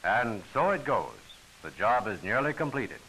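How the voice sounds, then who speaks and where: Bright-voiced man narrating an old training film. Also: medium pitch, big pitch swings, moderate pace. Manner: formal, instructional phrasing. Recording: hissy old film soundtrack, noisy.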